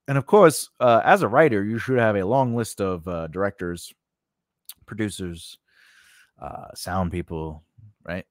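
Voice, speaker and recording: A man's voice: fairly low pitch, dry recording, studio quality. A man giving an informal instructional lesson, talking through points conversationally with frequent "you know" and "all right" asides. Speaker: screenwriting instructor.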